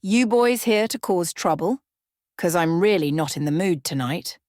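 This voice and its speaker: A woman's voice: lowish voice, animated, moderate pace, a little mellow, no echo, clean studio sound, lightly acted. A woman voicing a carefree, sly male vampire rogue who looks mid-twenties, teasing and nonchalant, in a bedtime story. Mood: smirking, cool.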